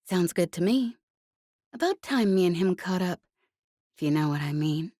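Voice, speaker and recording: Woman reader as cocky female space smuggler, low, teasing, casual clipped delivery, audiobook. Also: expressive, normal speed, dark tone, no room sound, clean studio sound. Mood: teasing, suggestive.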